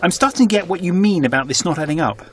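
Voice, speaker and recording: An actor playing a sceptical, puzzled travelling companion from Britain in an audio drama, dry and questioning. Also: male voice, sits high, animated, fast, a little hiss or hum. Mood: puzzled, curious. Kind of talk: full-cast audio drama acting.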